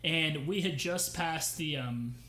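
A man's voice: fairly high pitch, slow pace, bright, forceful tone, poor audio. A young man co-hosting a podcast, telling a story in casual chat with many fillers and restarts. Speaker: podcast co-host.

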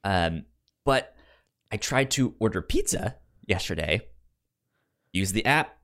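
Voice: low-pitched, animated, takes it slowly, fairly bright tone. A podcast co-host with a stutter, repeating sounds and words while telling an informal story in casual chat and acting out the dialogue.

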